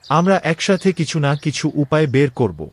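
Earnest loyal companion, lively male voice acted. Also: fairly high pitch, moderate pace. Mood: tender, reassuring. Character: loyal, worried young male friend of a king. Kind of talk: dramatic Bengali cartoon voice acting.

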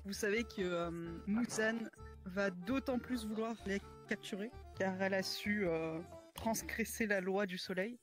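A woman improvising a roleplay speech as a leader, with a hesitant, halting delivery. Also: mid-range voice, faint music behind.

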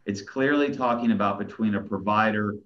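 A middle-aged man arguing an appeal as an attorney, stumbling over restarts before laying out measured legal points from a small room over a video call. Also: on the low side. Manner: stammering restarts, then measured legal argument. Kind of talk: courtroom oral argument. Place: small plain room, indoors. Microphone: video call webcam. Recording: dull, lo-fi video call audio.

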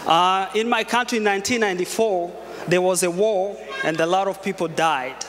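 A man's voice: high voice, moderate pace, fairly bright tone. A young man from Rwanda giving a hesitant, plain-spoken personal testimony on a church stage with a handheld mic. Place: large church sanctuary, on stage. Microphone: handheld microphone. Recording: long hall reverb, faint noise.

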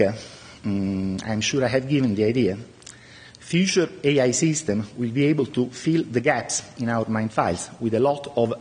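A middle-aged man whose first language is Italian gives a conference talk from behind a podium, his delivery halting and filled with pauses. His voice is slightly husky and sits at an ordinary pitch for a man. The sound carries the long reverb of a large lecture hall. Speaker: conference speaker.

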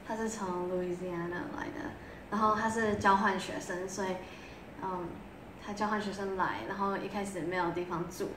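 A young woman being interviewed as a vocal student, answering softly in a casual way with brief fillers. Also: medium pitch, steady tone, normal speed, background noise. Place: living room, on a sofa. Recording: echoey room with music behind.

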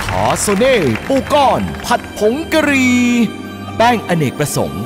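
A woman's voice: fairly high pitch, wildly swooping pitch, unhurried. A woman reading scripted narration as the announcer of a cooking show. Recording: music and crowd noise behind.

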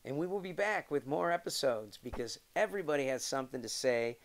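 A man's voice: medium pitch, animated, brisk. A middle-aged man with an American accent hosting an interview show, presenting emphatically like a showman. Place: wooden sunroom indoors.

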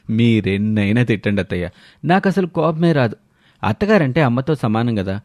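A man voicing a shameless idler son-in-law who flatters and wheedles with exaggerated respect in an audio story. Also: medium pitch, normal speed, dry recording, clean studio sound, natural voice. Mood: fawning, wheedling.

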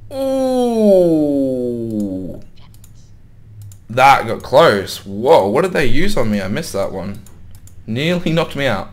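A man's voice: mid-range voice, big pitch swings, takes it slowly, pauses often. A young man with a slightly sore voice, casually commenting on his gameplay and thinking aloud as he plays. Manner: thinks aloud, wondering and muttering to himself. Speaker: gaming YouTuber.

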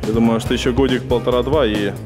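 A young man gives a relaxed, thinking-aloud tour of a large gym, his voice at a middling pitch. The camera is held close, and the hall rings with echo under loud music. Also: quick pace. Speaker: weightlifting vlogger touring a gym. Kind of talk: casual walking tour commentary. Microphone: handheld camera at close range.

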